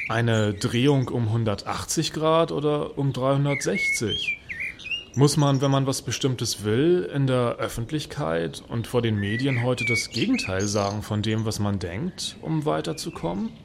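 Guy acting as puzzled earnest satirist, wry musing monologue, mid-pitched voice, noisy recording w birds chirping. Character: puzzled, earnest male political satirist seeking harmony. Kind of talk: monologue in an audio drama.

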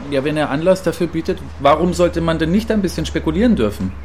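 A man's voice: a little high, fast, darkish tone, a little hiss or hum, natural voice. A man playing a provocative, philosophizing radio commentator who muses and questions in a radio play. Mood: skeptical.

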